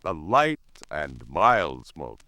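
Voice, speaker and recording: A man's voice: a bass voice, wildly swooping pitch, drawn-out words. A man reading a 1950s radio commercial with an emphatic, punchy sales delivery. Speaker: commercial announcer.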